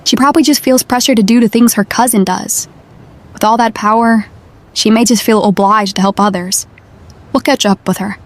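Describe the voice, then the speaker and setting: A woman playing a brisk, capable newspaper reporter in an audio drama, with a dry, matter-of-fact manner. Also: on the high side, steady tone, close to an everyday voice. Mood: reassuring.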